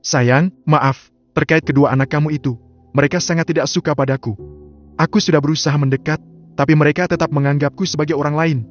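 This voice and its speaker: A cold, ruthless graying crime boss, as a male storyteller plays him, speaking curtly in a flat tone. His voice sits a little high for a man. The sound is slightly muffled, with soft music behind. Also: fast talker, close to an everyday voice. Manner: flat, curt delivery.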